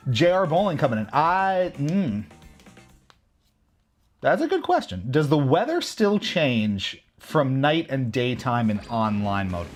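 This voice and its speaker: A man giving casual, joking commentary while he plays a racing game on a livestream, reacting out loud to every turn. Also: mid-range voice, very animated, slow, soft background music. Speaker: livestream gamer.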